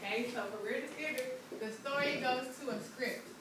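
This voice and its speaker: A woman with a high voice teaches a class, prompting with short questions. She sounds distant from the microphone in a very echoey room, with clear background noise. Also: normal speed. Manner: checks understanding with short questions. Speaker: English teacher. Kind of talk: interactive classroom lesson. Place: indoor school classroom.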